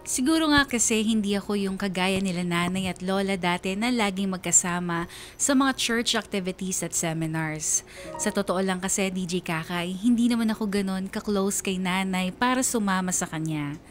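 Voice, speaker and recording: A woman's voice: medium pitch, moderate pace, soft background music, lightly acted. An actress playing a troubled young woman who narrates her story in a reflective, confessional way for a radio drama. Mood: wistful, confessional. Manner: confessional, wistful.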